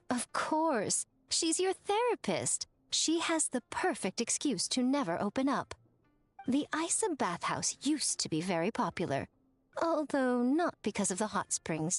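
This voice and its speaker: A woman's voice: on the high side, very animated, unhurried, no room sound. A woman playing a sly, elegant, self-assured shrine maiden with an arch, teasing manner in an anime-style video game. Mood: sly, playful. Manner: arch, playful, teasing, theatrical.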